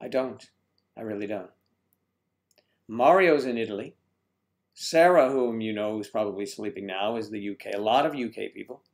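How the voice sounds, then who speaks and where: A man's voice: lowish voice, moderate pace, pauses often. A man hosting a casual livestream from home, rambling as he greets chat viewers and stumbles over their names.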